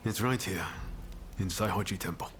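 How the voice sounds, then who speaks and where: Low-voiced, easygoing young samurai. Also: male voice, moderate pace, fairly bright tone. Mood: reflective, warm. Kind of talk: video game cutscene dialogue.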